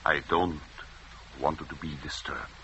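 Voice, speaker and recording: An actor playing a tense, secretive village doctor with a curt, evasive and menacing manner in vintage radio drama. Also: male voice, rumbling low, flat delivery, unhurried, bright, forceful tone, exaggerated voice. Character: tense, secretive village doctor husband hiding murderous intent. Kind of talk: 1940s-50s radio drama acting. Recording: dull, noisy vintage radio recording.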